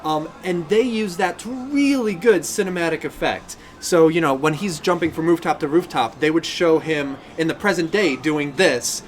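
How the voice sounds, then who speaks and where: A man's voice: a little high, moderate pace, hardly any pauses, a little hiss or hum. A man chatting enthusiastically and casually as co-host of a pop-culture podcast.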